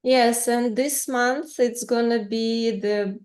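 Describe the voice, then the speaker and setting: A young woman with a Ukrainian accent hosts a casual video-call interview. She talks at an unhurried pace, pausing often and searching for her words. Her native Russian shows through the way she speaks. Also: a little high, fairly even intonation, darkish tone. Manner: hesitant, searching for words, frequent fillers.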